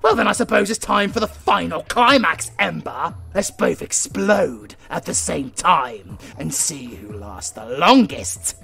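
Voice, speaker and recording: A man's voice: on the high side, lively intonation, gravelly, sharp tone, faint music behind, full character voice. A British man playing an arrogant, flirtatious supervillain in a romantic comedy audio roleplay, theatrical and easily exasperated. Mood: exasperated, outraged. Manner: theatrical, swinging from slow menace to exasperated outbursts. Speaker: voice actor.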